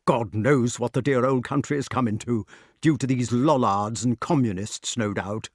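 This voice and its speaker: A man's voice: mid-range voice, moderate pace, no room sound, clean studio sound, lightly acted. A man voicing a blustering, indignant old knight in a storybook, solemn and pompous in manner. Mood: grumbling.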